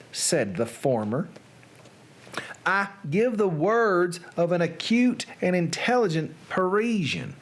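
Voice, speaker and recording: A performer doing a Southern storyteller reading an old gothic horror tale. The delivery is slow and drawn out, with wide swings of pitch. Long, ornate words come haltingly. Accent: American Southern. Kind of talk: dramatic literary reading.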